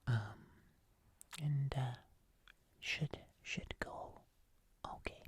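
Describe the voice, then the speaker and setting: A woman voicing a gentle, nervous fuzzy monster in a breathy, hesitant ASMR whisper. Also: extremely low pitch, dry recording, heavily acted. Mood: careful, mildly nervous. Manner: slow, soft, hesitant, with small pauses. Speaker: ASMR host.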